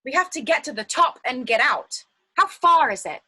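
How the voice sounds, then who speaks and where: A woman acts out an anxious yet determined female fugitive in a one-act stage drama. The voice sits high, with a sharp, bright edge. The delivery is theatrical and insistent. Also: sing-song, normal speed, breathy edge, heavily acted. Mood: nervous, resolute. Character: anxious but determined woman fleeing a flooded underground shelter. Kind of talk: dramatic stage-play dialogue.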